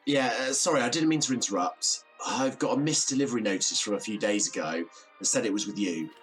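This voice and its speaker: An actor playing an awkward office worker in an audio drama, hesitant, apologetic and understated. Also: male voice, mid-range voice, a little fast, fairly bright tone, soft background music, natural voice, rough recording. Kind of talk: naturalistic audio drama acting.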